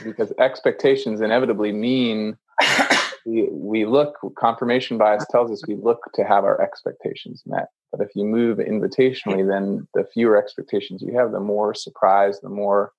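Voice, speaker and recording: Gravelly low-voiced male podcast host. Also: steady tone, normal speed, muffled recording. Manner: reflective, paraphrases guest, frequent "mm" affirmations. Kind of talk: conversational interview.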